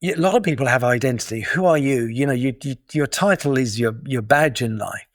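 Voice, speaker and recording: A man's voice: medium pitch, fairly quick, no room sound, studio quality. A middle-aged man with a British accent, a guest explaining his ideas in a thoughtful way, with small restarts. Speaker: guest, digital detox coach. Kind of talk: casual interview talk.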